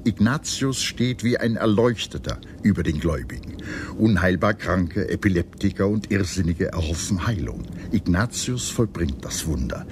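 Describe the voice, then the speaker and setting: A man reading scripted historical documentary narration in a measured, articulate storytelling manner. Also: low voice, background noise. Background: background music.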